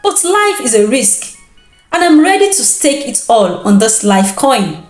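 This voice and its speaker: A woman storyteller acts out a sick young man in a folktale, earnest and stubbornly hopeful. The voice is pitched high and dramatic, with desperate weight on the words. It sounds roomy. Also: big pitch swings, a little slow, soft background music. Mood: resolute.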